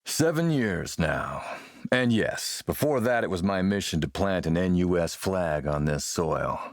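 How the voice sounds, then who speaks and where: A man playing a suave video game warlord, coolly polite and mocking, with a measured, sardonic menace. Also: deep voice, lively intonation, dry recording, clean studio sound. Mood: suave, needling. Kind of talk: video game villain acting.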